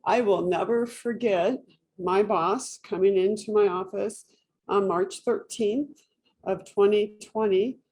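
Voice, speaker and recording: A woman's voice: medium pitch, takes it slowly, darkish tone, breathy edge. A woman moderating a panel, thanking speakers and handing over with brief polite remarks.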